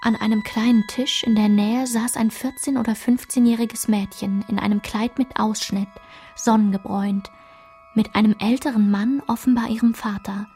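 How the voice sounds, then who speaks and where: An actress playing a woman who recalls her painful girlhood, narrating a literary radio drama in a measured, reflective way. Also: fairly high pitch, fairly even intonation, mellow, faint music behind, lightly acted.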